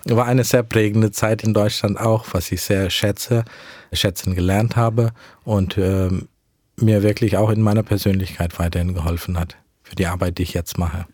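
A man's voice: fairly low pitch, fairly even intonation, darkish tone, no room sound, studio quality. A young man whose first language is English, answering interview questions about mission work in careful, hesitant speech. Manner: earnest, measured, with frequent hesitations. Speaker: mission worker.

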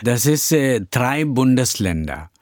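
A middle-aged Indian pastor whose first language is Tamil answers interview questions in a halting way, pausing often with many hesitations and simple grammar. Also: male voice, mid-range voice, big pitch swings, darkish tone, no room sound, studio quality. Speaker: pastor and church planter.

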